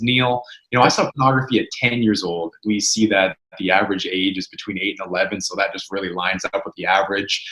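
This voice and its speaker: A man's voice: fairly low pitch, a bit bright, reverberant, rough recording. A Canadian man in his twenties from Canada, an interview guest earnestly telling his personal story in a frank, conversational way. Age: 20s. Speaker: ministry co-founder, guest.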